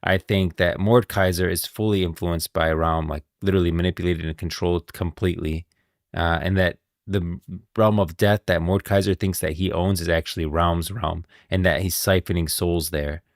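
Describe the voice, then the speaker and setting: A man's voice: sits low, steady tone, normal speed, no echo, clean studio sound. A man giving casual livestream commentary on game lore, informal and insistent, thinking aloud.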